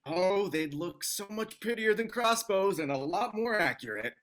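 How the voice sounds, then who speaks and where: A woman's voice: mid-range voice, lively intonation, moderate pace, natural voice. A woman playing a cocky, taunting male orc crossbowman with a smug, needling manner in a fantasy comedy table read.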